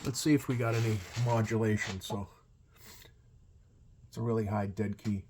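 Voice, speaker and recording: A man's voice: fairly low pitch, steady tone, moderate pace, darkish tone. A man giving a casual hands-on repair walkthrough as a technician, thinking aloud hesitantly at a workbench. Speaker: CB radio repair technician demonstrating. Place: indoor workbench. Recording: clear background noise.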